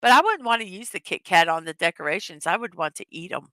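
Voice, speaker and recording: Hoarse chatty woman with a bright voice. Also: lowish voice, animated, fast, no room sound. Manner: chatty, reads chat messages aloud, laughs often. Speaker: livestream host. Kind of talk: casual morning livestream chat.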